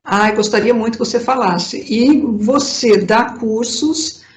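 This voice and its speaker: A woman's voice: a little high, takes it slowly. A woman with a Brazilian Portuguese accent hosting an interview, chatty and enthusiastic. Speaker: astrologer and interview host. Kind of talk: casual interview chat. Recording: roomy echo.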